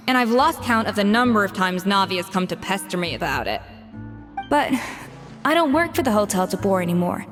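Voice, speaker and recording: A woman playing a proud, exacting young chef in an anime game, brisk, frank and self-assured. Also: medium pitch, big pitch swings, over music, lightly acted. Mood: composed, frank.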